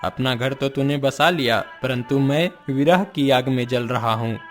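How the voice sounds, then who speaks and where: A man's voice: mid-range voice, measured pace, faint music behind, close to an everyday voice. A man voicing a humble, lovelorn commoner in a cartoon story, with a plaintive manner. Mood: bitter. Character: humble, lovelorn commoner, secret lover of a princess.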